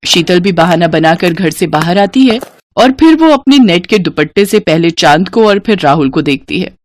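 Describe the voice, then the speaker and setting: A woman's voice: on the low side, expressive, normal speed, no echo. A woman reading scripted narration as the storyteller of a dramatized tale.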